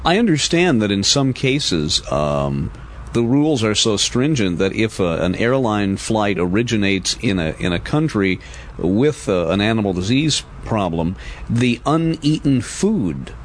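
A man's voice: on the low side, big pitch swings, unhurried. Male American interview host: conversational, thinks aloud, swooping intonation, noisy field recording. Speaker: radio host and journalist interviewing.

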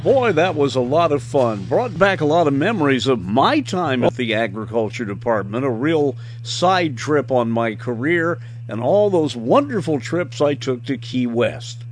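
A man's voice: medium pitch, very animated, unhurried. An older American man, a radio host, speaking conversationally as he interviews and reminisces. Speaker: radio host and veteran journalist. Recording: very noisy background.

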